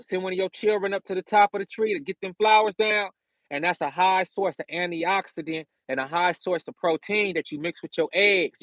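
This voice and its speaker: An American man from California hosts a casual livestream. His voice sits a bit high for a man and sounds dry, with little room around it. He gives advice in loose, slangy, conversational phrasing and repeats key phrases for emphasis. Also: a bit bright, no room sound, low-quality recording. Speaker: livestream host giving self-sufficiency advice.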